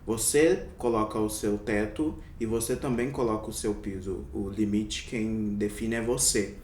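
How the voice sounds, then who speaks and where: A man's voice: steady tone, a little slow, darkish tone, recorded from a distance. A young adult man from Brazil answering interview questions as a doctor, reflective and hesitant, with many fillers and restarts. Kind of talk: casual interview. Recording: echoey room, somewhat off-mic, faint rumble.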